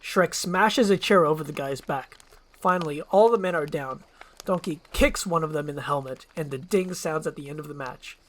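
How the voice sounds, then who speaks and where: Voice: medium pitch, moderate pace. A storyteller narrating a screenplay as a fairy-tale story, describing the scenes in the present tense.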